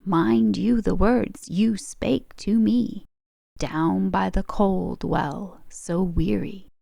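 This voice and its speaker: A woman voicing an enchanted frog in a storybook, pleading insistently in a chanting rhyme with drawn-out endearments. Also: medium pitch, creaky, dark tone, no echo, heavily acted.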